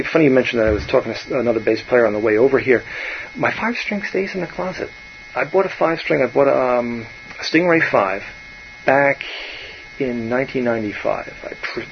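A man, a bass player chatting casually and rambling on, often trailing off softly. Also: animated, a little fast, muffled recording, slight background noise. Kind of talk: casual musician chat.

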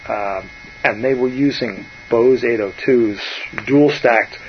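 A man, a bass player chatting casually and rambling on, often trailing off softly. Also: takes it slowly, lo-fi sound, a little hiss or hum. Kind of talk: casual musician chat.

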